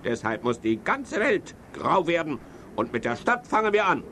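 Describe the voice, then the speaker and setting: An actor playing a grumpy, blustering little villain who cackles theatrically in a children's audio play. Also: male voice, fairly high pitch, big pitch swings, normal speed, a bit bright, a little hiss or hum. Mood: bossy, excited. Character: grumpy little cartoon villain gleefully greying the world.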